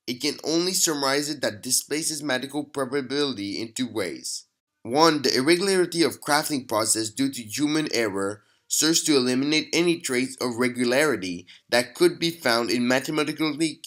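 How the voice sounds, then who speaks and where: A man playing an earnest mathematics professor explaining in a hushed, deliberate way for an audio log. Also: medium pitch.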